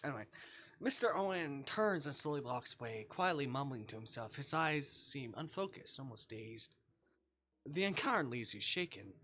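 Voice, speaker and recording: A playful, expressive streamer reading a visual novel aloud and reacting live, acting out the dialogue. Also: medium pitch, normal speed. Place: live stream with game music behind.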